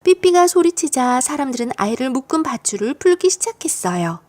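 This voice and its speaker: High-pitched, lively woman narrating a storybook. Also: brisk. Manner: expressive, storytelling for young children. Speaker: audiobook narrator of children's stories.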